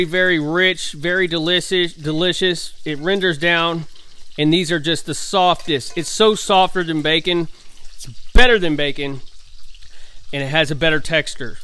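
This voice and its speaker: A man's voice: fairly high pitch, bright, forceful tone, clear, resonant tone. A man, cooking at home and chatting enthusiastically to his viewers in a folksy way. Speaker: farm vlogger. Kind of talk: casual cooking vlog. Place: indoor home kitchen.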